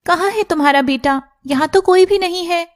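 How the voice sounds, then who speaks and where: Woman as young cartoon serpent woman, very high voice, emotional, earnest, dramatic, loyal. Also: normal speed. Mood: alarmed, indignant. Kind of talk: Hindi cartoon character dialogue.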